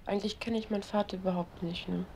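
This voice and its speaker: Junge Frau, halliges Tonband aus der Ferne. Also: female voice, mid-range voice, steady tone, gravelly, darkish tone, slight background noise. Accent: Berlin German. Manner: hesitant, halting, frequent tag questions. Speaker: interviewee. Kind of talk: candid recorded interview. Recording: roomy, distant 1970s tape recording.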